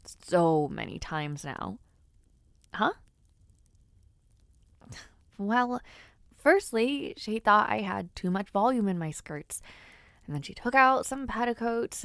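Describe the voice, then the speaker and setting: A woman's voice: mid-range voice, dry recording. A woman voicing a young noblewoman in an audio roleplay, playful and teasing, sometimes flustered while giving compliments. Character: teasing young noblewoman before her debut. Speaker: voice actor.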